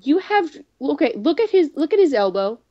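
A woman's voice: sits high, very animated, normal speed. A woman co-hosting a gameplay video, chatting casually and playfully teasing as she reads and comments. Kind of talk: casual game commentary, reading dialogue aloud. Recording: muffled, noisy home recording.

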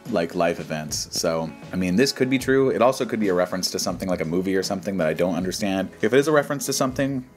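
A man's voice: deep voice, expressive, racing, hardly any pauses. A man giving casual, slangy, skeptical commentary and reading posts aloud in a joking way. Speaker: host of a reaction show. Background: background music.

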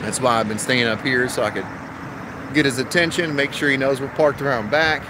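A man's voice: mid-range voice, steady tone, a little fast. A man in his thirties, an HVAC technician, talking casually to his phone camera on a commercial rooftop and explaining the job step by step. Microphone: phone held at arm's length. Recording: outdoor phone audio with traffic noise.

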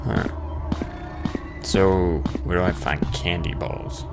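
A man's voice: low voice, fairly even intonation, takes it slowly. A man giving casual let's-play commentary, muttering asides and bursting into sudden exclamations, as host of a gaming video. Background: game music and sound effects.

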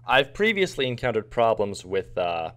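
A man's voice: lowish voice, sing-song, normal speed, fairly bright tone. A man casually explaining what is on screen as a video editor narrating a screen recording.

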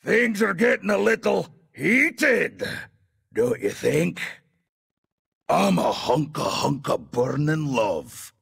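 A fiery, blustering dwarf from a fantasy video game, speaks with a thick Scottish accent. The voice is forceful and full of gravel, pitched high for a man. It is delivered slowly and heartily. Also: very animated, exaggerated voice. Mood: flirtatious. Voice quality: gravelly, raspy. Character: gruff, fiery, boisterous male Dark Iron dwarf. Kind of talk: video game character voice lines.

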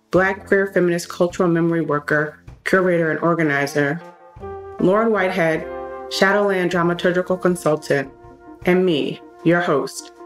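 Woman host, slightly low voice, scripted podcast narration with music behind. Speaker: host and professor.

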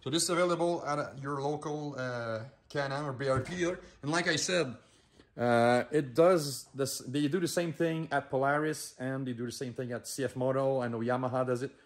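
A man's voice: mid-range voice, fairly quick. Chatty man in a garage workshop. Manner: chatty, rambling, with many restarts and "uh" fillers. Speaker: shop vlog host. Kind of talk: casual how-to talk. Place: indoor garage workshop with ATVs.

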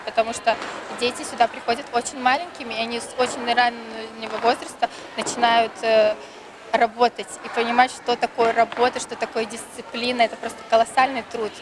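A woman's voice: on the high side, noisy background. A woman in her thirties, an official, answering an interviewer in a busy sports hall. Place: large indoor sports hall. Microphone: handheld reporter microphone.

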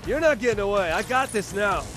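An actor voicing a brash, cocky young superhero in a video game, slangy and excitable. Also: male voice, high-pitched, a little fast. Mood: cocky, frustrated. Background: loud music and game effects.

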